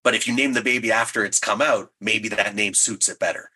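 A man talks through his creative process as an interviewed writer. His voice sits fairly low, with a forward, bright edge and some rasp, and he explains things with modest self-deprecation. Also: steady tone, a little fast. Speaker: audio drama writer and creator. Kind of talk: interview chat.

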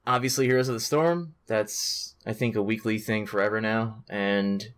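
A man co-hosting a podcast in casual, excitable chat about video games, with slangy, sweary talk. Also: fairly low pitch, moderate pace. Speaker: podcast co-host.

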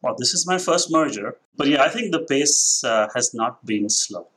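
A man in his forties from India with a blended Indian and international accent, speaking as a company president in a podcast interview, measured and thoughtful with frequent fillers. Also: mid-range voice, expressive, fairly bright tone, husky. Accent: Indian, with a mix of international influences.

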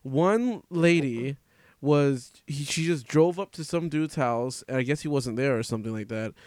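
A man's voice: medium pitch, moderate pace, a little mellow, dry recording, studio quality. A man chatting informally as a podcast co-host, retelling stories in loose, sweary everyday language. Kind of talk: casual chat, retelling a news story.

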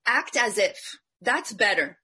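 Woman from Colombia, business panelist; punchy, motivational delivery, sharp bright tone. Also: mid-range voice, slow, slightly rough. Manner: emphatic, motivational, animated. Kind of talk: live online panel discussion.

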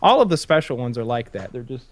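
A man's voice: medium pitch, sing-song, fast talker, dry recording. Rambling, swearing man in close dry sound. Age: 30s. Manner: rambling, swears often, frequent restarts. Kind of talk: casual chat.

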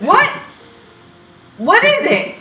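Woman as young male cartoon lion, very high, hugely animated, slow-paced, echoey noisy room. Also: exaggerated voice. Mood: easygoing, defensive. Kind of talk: comic script table read. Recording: echoey room with clear background noise.

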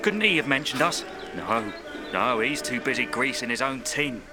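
An actor playing a bitter, defiant construction worker, grumbling with sarcasm in a naturalistic audio drama. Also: male voice, medium pitch, lively intonation, normal speed, close to an everyday voice. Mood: angry, scornful. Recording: loud music and crowd behind.

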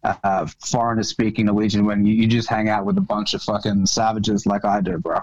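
A man's voice: lowish voice, level tone, a little fast, a little mellow, no room sound. An Australian man as a podcast guest, laid-back and profane, peppering his talk with "bro" and "man". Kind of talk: casual conversational interview. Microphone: phone on a remote call. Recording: muffled lo-fi phone-call audio.

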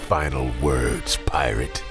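An actor playing a cold, devout cyborg cult enforcer in a sci-fi audio drama, gravelly, solemn and menacing. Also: male voice, rumbling low, fairly even intonation, slow, music in the background, heavily acted. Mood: cold menace.

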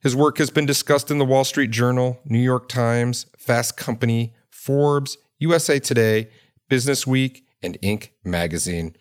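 A man's voice: medium pitch, unhurried, smooth. A man hosting a podcast, reading a guest introduction and then asking questions with some restarts. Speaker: podcast host.

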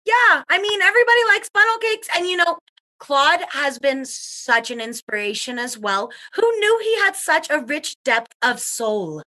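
Bright, high-voiced woman guest. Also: expressive, moderate pace. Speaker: talk show guest.

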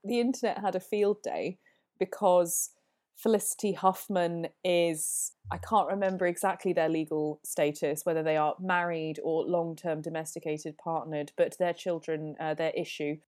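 Low, mellow woman with a cold. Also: fairly even intonation, normal speed. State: has a cold. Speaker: podcast guest. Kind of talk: casual podcast chat. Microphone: remote call.

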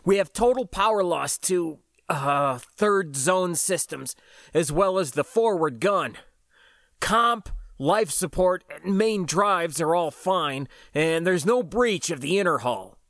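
A grim ship's engineer in a spoken sci-fi story, reporting damage quickly and matter-of-factly in a slightly raised voice, as a male narrator voices him. Close, dry sound. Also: high voice, lively intonation, fairly bright tone, no room sound, exaggerated voice.

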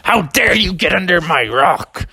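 An indignant, menacing cobra from a children's tale, acted by a storyteller. It hisses and snaps in a high, lifted voice. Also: expressive, measured pace, gravelly, sharp tone, husky, no room sound, studio quality, full character voice. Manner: hissing, indignant.

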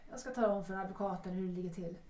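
A woman's voice: medium pitch, darkish tone, recorded from a distance, slight background noise, close to an everyday voice. A woman acting an anxious, evasive actress in her thirties in a period radio drama, hesitant, defensive and formal. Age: 30s. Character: anxious, evasive, wounded actress of 33, former wife. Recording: echoey, off-mic.

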